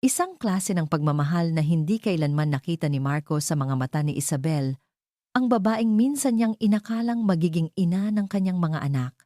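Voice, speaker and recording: Low, even-voiced Filipino woman storyteller. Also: normal speed, no echo, studio quality. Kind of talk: scripted dramatic story narration.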